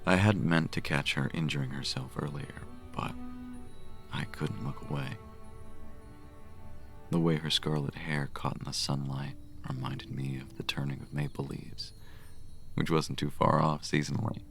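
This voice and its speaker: A man acting a very deep, gravelly gentle cleric. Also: steady tone, a little slow, exaggerated voice. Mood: hushed, fond. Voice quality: deep, gravelly, soft murmur. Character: gentle, smitten male cleric with healing magic. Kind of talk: romantic audio drama, first-person narration and dialogue. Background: music.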